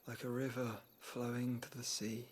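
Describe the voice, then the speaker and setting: An actor playing a frail, reflective young prince who speaks in a formal, measured way in a video game cutscene. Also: male voice, medium pitch, completely flat delivery, a little hiss or hum, close to an everyday voice. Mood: pensive. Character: frail, thoughtful young man of royal rank.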